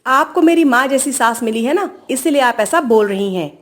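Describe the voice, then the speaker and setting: Casting: female performer as whiny, back-talking young daughter-in-law, highish voice, defiant temper, domestic story drama. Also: expressive, moderate pace. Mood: indignant.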